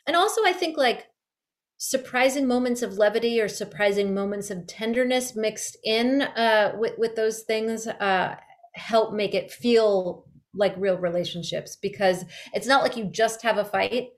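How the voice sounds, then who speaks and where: A woman's voice: fairly high pitch, unhurried. A woman giving thoughtful, conversational interview answers, piecing her thoughts together as she goes. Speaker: audio drama artist and interview guest.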